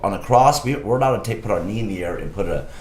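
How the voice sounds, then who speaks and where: Deep-voiced laid-back man. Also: very fast, slight background noise. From California. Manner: relaxed, rambling, laughs often. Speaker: guest, former soccer goalkeeper. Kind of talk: casual chat.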